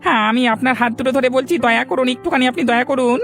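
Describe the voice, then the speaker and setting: A woman's voice: high voice, very fast, a bit bright, faint music behind. A woman voicing a meek poor villager in a cartoon, with a pleading manner. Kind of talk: Bengali cartoon dialogue acting.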